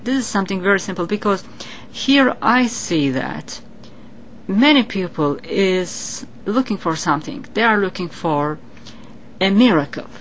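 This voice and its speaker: A woman's voice: medium pitch, lively intonation, a little slow, a little hiss or hum. A woman from Turkey with a Turkish accent, earnestly explaining her psychic work as an interview guest. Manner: earnest, explanatory, with non-native grammar. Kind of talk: interview answers.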